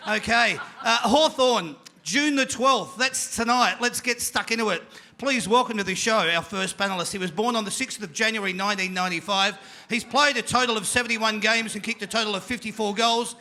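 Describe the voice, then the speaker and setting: A man with an Australian accent hosting a live panel show, energetic and jokey, calling out to a crowd in the venue. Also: sits high, brisk.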